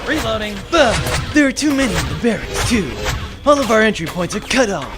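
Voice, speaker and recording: A man's voice: high-pitched, sing-song, brisk, heavy reverb, big-room sound, over loud music. Man as a blunt, combative space-marine sergeant. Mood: urgent. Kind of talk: amateur sci-fi audio drama acting.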